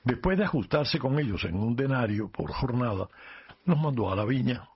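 A man who is a priest, reading a gospel passage and explaining it in a calm, measured way on a radio program. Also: mid-range voice, breathy edge, highs cut off.

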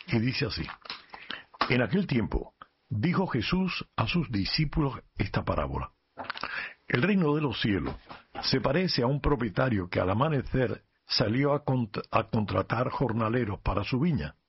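A man who is a priest, reading a gospel passage and explaining it in a calm, measured way on a radio program. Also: mid-range voice, slightly rough, highs cut off.

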